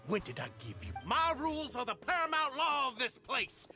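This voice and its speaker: An actor playing an arrogant, cruel prison tyrant in a video game, with a theatrical, menacing and contemptuous delivery. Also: male voice, very high voice, huge pitch swings, moderate pace, bright, forceful tone, faint music behind, exaggerated voice. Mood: furious ranting. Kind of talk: dramatic video game voice acting.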